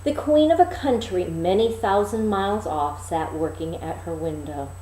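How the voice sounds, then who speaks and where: A woman's voice: on the low side, animated, normal speed, mellow. A woman reading a fairy tale aloud expressively as a storyteller. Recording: echoey room.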